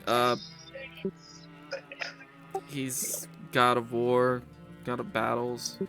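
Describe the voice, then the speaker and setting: Gravelly man running a tabletop game. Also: medium pitch, monotone, very slow, sharp tone. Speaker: game master. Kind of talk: casual narrating and answering in a tabletop game. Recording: music behind the voice. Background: background music.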